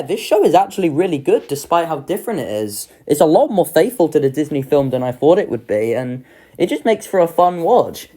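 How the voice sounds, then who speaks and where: Animated young reviewer, very varied pitch. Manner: informal, swearing, enthusiastic. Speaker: video creator ranking children's pantomimes. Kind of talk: casual review ranking commentary.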